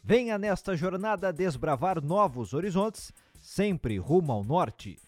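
A man reads a podcast intro with a slow, dramatic announcer's delivery. His voice sits fairly high and swings lively up and down. Music plays softly beneath him. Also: no echo. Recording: music bed under the voice.

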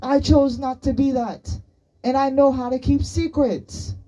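Woman acting stern, exasperated boy, pitched up. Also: steady tone, brisk, highs cut off. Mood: angry, scolding. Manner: forceful, scolding.

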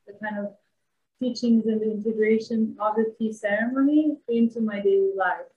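Woman from Bosnia, thirties, English, very roomy echo, medium pitch, reflective interview talk. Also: steady tone, moderate pace, dull audio, a little hiss or hum. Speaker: interview guest. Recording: echoey room sound.

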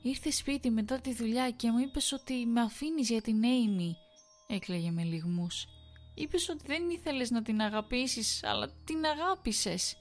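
A woman voicing a frantic young wife who turns shrill and tearful. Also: soft background music, natural voice. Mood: hysterical. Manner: shrill, breaking into sobs.

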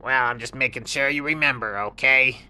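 Man doing a cheeky little scorpion, pitched up. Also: moderate pace, bright, forceful tone. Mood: insistent, cheeky. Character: small chatty scorpion, cheeky and insistent.

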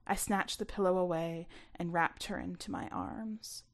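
A woman reading scripted first-person fiction aloud, expressively, with some hushed asides. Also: lowish voice, steady tone, unhurried. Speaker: story reader.

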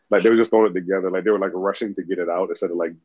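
A man's voice: low voice, fairly even intonation, rapid-fire, a little mellow, dry recording. A man co-hosting a podcast in casual chat, rambling and swearing freely as he talks. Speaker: podcast co-host. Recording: dull lo-fi dry recording.

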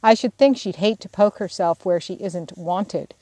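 A woman's voice: mid-range voice, normal speed, dark tone, no room sound, lightly acted. A woman voicing an impatient, hot-tempered teenage elder sister in a storybook, brusque and scolding. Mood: cross.

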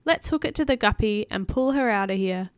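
A woman voicing a commanding, calm male captain of an adventure crew in a children's storybook, firm and decisive. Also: mid-range voice, fairly quick, clear, resonant tone, no room sound, close to an everyday voice.

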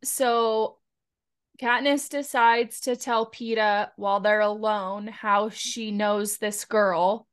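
A woman's voice: medium pitch, fairly even intonation, slow pace, clean tone. A woman co-hosting a book podcast, recapping a story in casual, informal talk full of filler likes.

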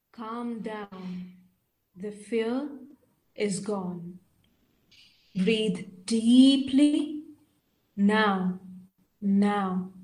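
A woman acting in an audio play as a probing, commanding mind prober who speaks in a deliberate, halting, instructing way. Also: medium pitch, a little mellow, low-quality recording. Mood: soothing, instructing.

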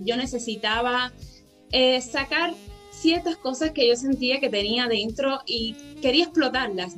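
A woman in her twenties from Cuba, a guest telling her life story in a radio interview, earnest and unhurried with many fillers. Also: high-pitched, fairly bright tone. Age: 20s. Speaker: interview guest, pageant winner and ballet dancer.

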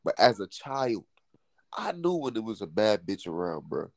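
A man in his twenties co-hosting a podcast, talking in an animated way that swings from low mutters to laughing outbursts. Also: moderate pace, gravelly, no room sound, clean studio sound. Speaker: podcast co-host. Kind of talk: casual podcast chat.

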